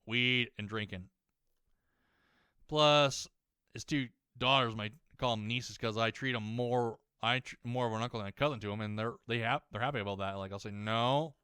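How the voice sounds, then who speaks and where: A young man ranting while playing a game, rambling, swearing a lot and often restarting his sentences, his voice slightly hoarse as it warms up. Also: medium pitch, fairly even intonation, normal speed, no echo, clean studio sound. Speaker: gaming YouTuber.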